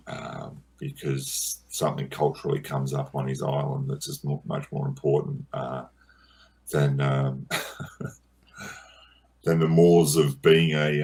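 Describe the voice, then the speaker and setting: A man's voice: extremely low pitch, vocal fry, darkish tone, husky. A man answering questions about his documentary as its director, in a hesitant way full of ums and repeated words. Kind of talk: interview answers.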